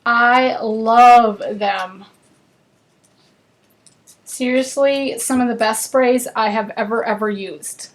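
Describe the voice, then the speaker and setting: Woman, northern US accent, bubbly live craft-class host, slightly high voice. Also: slow pace, long pauses, low-quality recording. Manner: chatty and bubbly, chatting with viewers while working.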